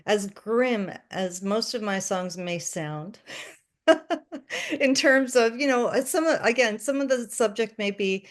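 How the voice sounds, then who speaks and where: A woman's voice: a little high, expressive, slow. A woman from Canada, a singer-songwriter guest chatting casually in an interview, self-deprecating and laughing often.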